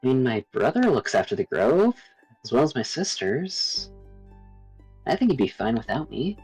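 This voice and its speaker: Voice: mid-range voice, very animated, normal speed, darkish tone, slightly rough, faint music behind, heavily acted, poor audio. A performer voicing a wistful young forest ranger in a visual novel, earnest and dreamy, longing for adventure. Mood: yearning.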